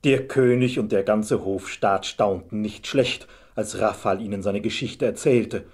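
A man with a husky voice narrating a scripted fairy tale as a storyteller. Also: lowish voice, unhurried, a little mellow.

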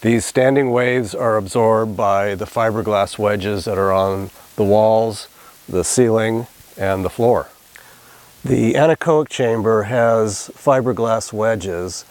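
A man's voice: on the low side, darkish tone. A man in his forties giving an explanatory lab demonstration as an instructor. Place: small anechoic chamber, indoors.

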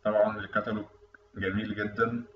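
A man with an Egyptian Arabic accent giving a technical lecture over a screen recording, explaining in a quick, conversational way. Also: lowish voice, flat delivery. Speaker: instructor. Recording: distant, dull, echoey room mic with faint noise.

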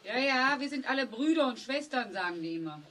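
An actress playing a matter-of-fact, doubting family member in a children's radio play. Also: female voice, sits high, expressive, a little fast, a bit bright.

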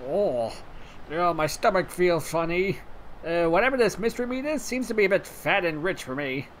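Woman voicing an eager, lively male weather pony. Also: on the low side, moderate pace, a little hiss or hum, lightly acted. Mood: queasy. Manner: hesitant, bouncy, full of oohs and uhs. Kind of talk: mock news segment in a post-apocalyptic radio comedy.

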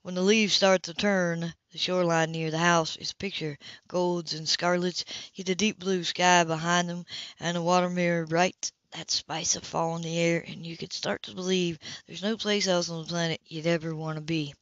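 A woman's voice: fairly low pitch, level tone, moderate pace, no echo, highs cut off, close to an everyday voice. A woman reading the lines of a confident, easygoing, teasing man in an amateur audiobook. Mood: easygoing, conversational.

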